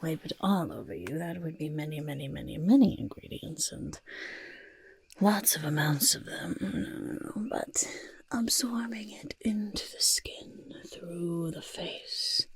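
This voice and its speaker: Casting: woman to play a mischievous goblin potion-maker for soft-spoken ASMR roleplay, very husky and breathy, lively swings in delivery. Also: lowish voice, a little slow, no room sound, heavily acted. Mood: soothing, instructive. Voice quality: husky, croaky, breathy half-whisper. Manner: fussy, chattering, repeats words. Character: cheerful, mischievous goblin potion-maker speaking in third person.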